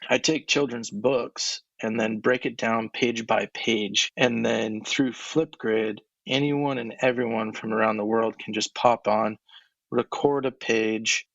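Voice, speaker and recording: A young man, an ed tech coach, answering interview questions casually in a monotone voice, with many fillers. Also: fairly low pitch, vocal fry, dry recording, dull audio. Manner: relaxed, filler-heavy, laughs between thoughts.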